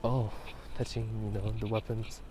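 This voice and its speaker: Man, lowish voice, slow halting ASMR murmur, breathy whispers, casual rambling, noisy outdoor ambience. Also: flat delivery. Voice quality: soft, breathy, often whispered. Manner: hushed, halting, unhurried. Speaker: ASMR video host. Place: outdoors at night, walking near a park.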